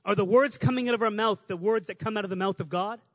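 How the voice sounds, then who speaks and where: A man preaching a lively, conversational sermon, talking fast and rising to shouts at the climax. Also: high-pitched, no room sound, lo-fi sound.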